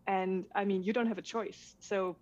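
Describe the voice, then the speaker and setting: A woman's voice: medium pitch, steady tone, moderate pace, a little mellow, a little hiss or hum. A woman from Denmark, a documentary filmmaker, chatting casually as a guest and laughing often. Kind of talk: casual conversation. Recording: dull lo-fi sound.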